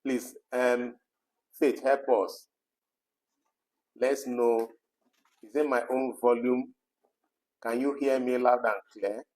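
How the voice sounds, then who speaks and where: A man's voice: mid-range voice, little pitch movement, takes it slowly, long pauses, darkish tone, rough recording. Chatty man co-hosting a talk. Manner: chatty, many "you know" fillers, reads out viewer comments. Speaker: co-host of an online talk program. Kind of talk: casual live discussion.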